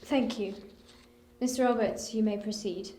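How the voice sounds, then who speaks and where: A woman's voice: mid-range voice, fairly even intonation, measured pace, soft background music, close to an everyday voice. A woman acting a formal, courteous judge in an amateur audio drama, recorded in an echoey, noisy room. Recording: echoey, noisy, quiet and distant.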